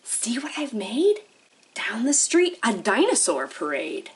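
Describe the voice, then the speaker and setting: Female children's storytime host: high, lively sing-song delivery, unhurried, full of wide-eyed questions. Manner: animated, sing-song, exaggerated wonder, asking questions. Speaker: children's librarian hosting storytime. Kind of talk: interactive storytime for young children.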